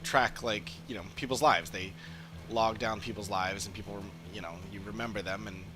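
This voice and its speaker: A man giving casual livestream commentary on a game, haltingly searching for words as he talks. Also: on the low side, steady tone, background noise. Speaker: game streamer. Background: game music.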